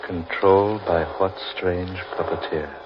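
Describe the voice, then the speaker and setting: Deep-voiced, grim doomsayer, muffled radio. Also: male voice, fairly even intonation, a little slow, noisy background. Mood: grim, ominous. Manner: ominous, theatrical. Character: grim, fatalistic man lost in a cursed forest. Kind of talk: old-time radio horror acting. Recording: muffled old radio drama recording.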